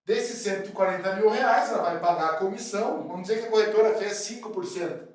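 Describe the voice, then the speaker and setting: A man's voice: sits high, quick pace. A man from southern Brazil with a cold, explaining real estate deals to fellow brokers, chatty and often checking in with his listeners. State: has a cold. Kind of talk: explanatory talk to camera. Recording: very echoey room.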